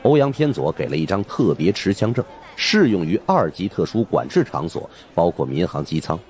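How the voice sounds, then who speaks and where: A man's voice: sits low, big pitch swings, normal speed, a little mellow. A man narrating an audiobook as dramatic, scripted storytelling.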